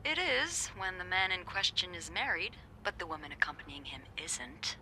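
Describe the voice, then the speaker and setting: A woman's voice: fairly low pitch, big pitch swings, normal speed, sharp tone, a little hiss or hum, full character voice, rough recording. A woman playing a young hotel receptionist, dry, teasing and knowing. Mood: wry. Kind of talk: video game voice acting.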